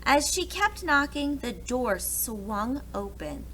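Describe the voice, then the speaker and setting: A woman reading a storybook aloud to young children in an expressive way, pausing to explain things to them. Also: high voice, a little hiss or hum, poor audio. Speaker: preschool read-aloud teacher.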